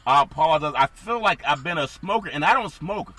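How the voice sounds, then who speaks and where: A man hosting a casual sports talk show, his voice a little scratchy and raspy, rambling through teams before turning to his co-host. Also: a little high, expressive, fairly quick, pushed and bright. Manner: rambling, asks co-host for thoughts.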